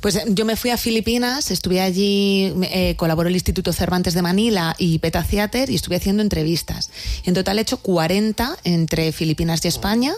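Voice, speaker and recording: A woman talking in an interview as a theatre director, telling her story in a wry, animated way. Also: fairly low pitch, fairly quick, dry recording. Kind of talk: conversational interview answers.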